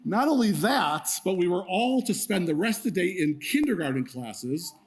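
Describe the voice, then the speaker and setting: A man's voice: high-pitched, sing-song, moderate pace, slightly rough. A middle-aged male rabbi giving a sermon, telling stories with wry asides to his congregation. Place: synagogue sanctuary.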